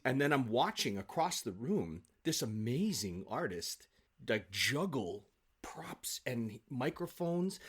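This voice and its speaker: A man talking as an interview guest, an actor who rambles excitedly and repeats himself for emphasis in casual chat. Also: medium pitch, husky.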